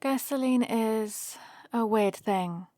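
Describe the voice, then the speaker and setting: A woman's voice: a little high, slow, mellow, dry recording, clean studio sound. A woman playing a friendly, teasing human heroine in an ASMR roleplay, explaining things casually and patiently to the listener. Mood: playful, friendly. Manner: casual, playful, explanatory rambling. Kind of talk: ASMR roleplay, one-sided conversation with the listener.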